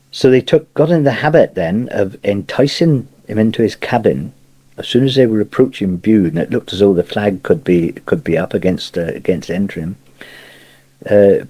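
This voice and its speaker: A man's voice: lowish voice, warm tone, breathy edge. An older man with a Cornish accent, telling a rambling local story and chuckling as he goes, heard on an old cassette tape. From Cornwall. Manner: chuckling, rambling yarn-spinner. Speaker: dialect storyteller. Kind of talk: spoken local anecdote.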